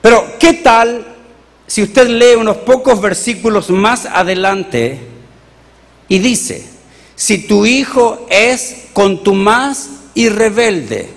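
Chilean male pastor, high voice, animated sermon delivery, reverberant hall. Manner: animated, rhetorical questions to the congregation.

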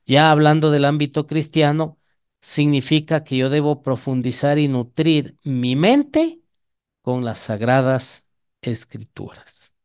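Male evangelical preacher, Ecuadorian accent, higher-than-average resonant voice, didactic delivery with rhetorical questions. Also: expressive, slow pace, a little mellow, clean tone. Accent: Ecuadorian Spanish. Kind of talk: evangelical sermon.

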